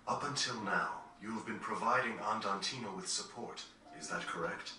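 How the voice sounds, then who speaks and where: A proper serious game gentleman, very crisp bright tone but low, echoey. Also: moderate pace, slight background noise. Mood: coolly challenging. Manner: formal, polite, pointed. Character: refined, serious gentleman, coolly probing and courteous. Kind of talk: dramatic video game voice acting. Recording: echoey, distant, over faint game music.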